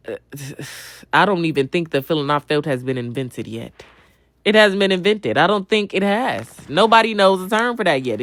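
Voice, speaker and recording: A woman's voice: lowish voice, no echo. A young adult woman chatting casually on a livestream as she answers viewers, blunt, slangy and full of swearing, often repeating a phrase for emphasis. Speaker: livestream host.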